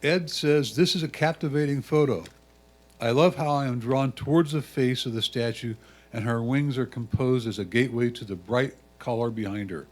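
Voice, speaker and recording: A man's voice: medium pitch, moderate pace. A man reading a judge's written comments aloud as a critique.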